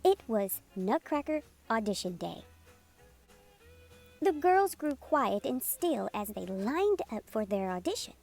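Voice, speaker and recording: A woman reading a children's picture book aloud as a storytime host, in an expressive, unhurried storytelling manner. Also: fairly high pitch, gravelly. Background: music playing under the voice.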